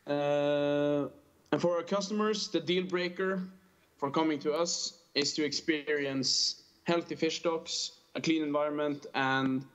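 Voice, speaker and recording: A man in his early twenties with a Norwegian accent, earnestly giving a prepared conference presentation. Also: a little high. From small island in northern Norway. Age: early 20s. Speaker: fishing tourism operator, panel speaker. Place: remote call into a conference. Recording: dull lo-fi video call audio.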